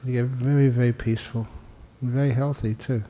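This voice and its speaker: Male meditation teacher, medium pitch, soft-edged mellow tone; informal, unhurried guidance with light humour. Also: fairly even intonation, long reverb tail, poor audio. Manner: unhurried informal guidance with pauses, gently humorous. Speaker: Buddhist monk, meditation teacher. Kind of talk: guided meditation. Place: indoor meditation hall.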